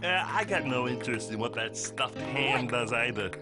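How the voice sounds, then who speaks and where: A man's voice: medium pitch, animated, normal speed, over music, natural voice. A man voicing a laid-back, resentful beatnik pig in a cartoon, with a hesitant, slangy hippie drawl. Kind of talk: cartoon voice acting.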